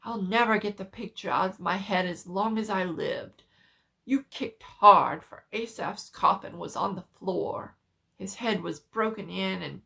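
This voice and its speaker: A woman plays a horrified country doctor in a gothic horror tale. He is agitated and emphatic, exclaiming in dread as he urgently berates his patient, with a creaky edge to the voice. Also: medium pitch, fairly bright tone. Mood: appalled, agitated. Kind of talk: dramatic horror story reading.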